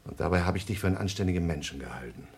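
An actor playing an older astronaut doctor in a German radio drama, suspicious, defensive and indignant as if cornered. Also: male voice, low voice, very fast. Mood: bitter, suspicious.

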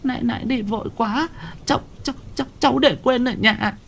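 A man's voice: brisk, creaky, music in the background. A man voicing a frightened old midwife who stammers in a raised, thin voice. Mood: panicked. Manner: stammering, high-pitched. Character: frantic old woman midwife, stammering and fearful.

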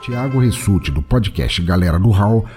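A man's voice: on the low side, lively intonation, moderate pace. A Brazilian man delivering dramatic scripted narration for an audio drama. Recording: narration over loud background music.

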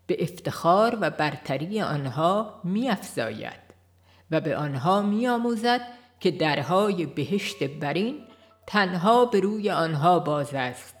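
A woman reading scripted audiobook narration in a measured, formal manner. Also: lowish voice. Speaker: audiobook reader.

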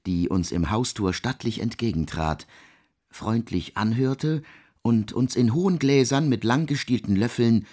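A man's voice: medium pitch, measured pace, dark tone, close to an everyday voice. An actor playing an urbane city man, politely and conversationally telling of a visit in a literary radio drama. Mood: recounting. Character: urbane male city-dwelling guest recounting a visit.

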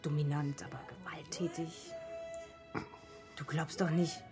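An actress playing a shaken, battered wife in a radio drama, speaking in halting fragments. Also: female voice, deep voice, fairly even intonation, music in the background. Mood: hurt, defensive. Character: shaken, battered wife, pleading yet defending her husband.

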